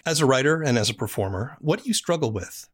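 Podcast interviewer, noticeably husky tone, relaxed conversational questioning and commentary. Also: medium pitch, quick pace.

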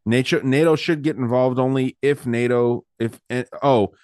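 A man's voice: mid-range voice, steady tone, normal speed, darkish tone, no echo, studio quality. Easygoing male podcast host, hesitant. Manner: hesitant, many fillers, easygoing. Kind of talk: casual chat.

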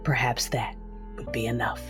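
Low and gentle, a loving mother who tells her family's story in a hushed, reflective audio drama voice. A clear music score plays under every word. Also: little pitch movement. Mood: tender. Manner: soft, reflective, slow narration. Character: a mother narrating her family's farewell, tender. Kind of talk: first-person audio drama narration.

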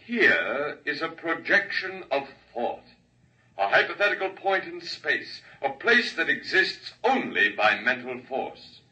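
Solemn alien orator. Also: male voice, on the high side, big pitch swings, bright, forceful tone, full character voice. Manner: formal, measured oratory. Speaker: alien speaker addressing a crowd. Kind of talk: 1950s radio drama acting. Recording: muffled 1950s radio broadcast, distant mic.

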